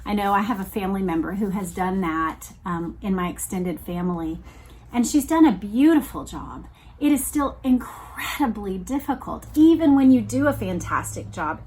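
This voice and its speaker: A middle-aged woman hosting a podcast, speaking in a measured, deliberate way as she reads aloud and reflects. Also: medium pitch, rough recording. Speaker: podcast host reading a book aloud and commenting. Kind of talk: reflective solo podcast talk mixed with read-aloud passages.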